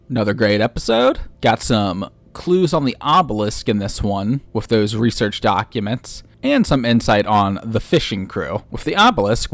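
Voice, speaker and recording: Low-voiced man giving explainer commentary. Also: no room sound. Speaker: video essay host. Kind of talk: explainer commentary recapping a horror series.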